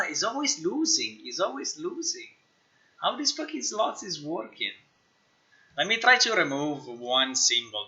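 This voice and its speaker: A man's voice: fairly high pitch, sharp tone, highs cut off. A man who is a non-native English speaker, thinking aloud casually on a live stream, repeating himself and swearing as he works. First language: not English. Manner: muttering to himself, repetitive, sweary. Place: indoors at a computer.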